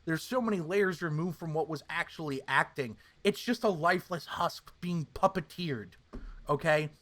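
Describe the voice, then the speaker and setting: A man's voice: a little high, lively intonation, normal speed, a bit bright. A man hosting a podcast, giving opinionated casual reviews in an emphatic, sweary way. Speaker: podcast host.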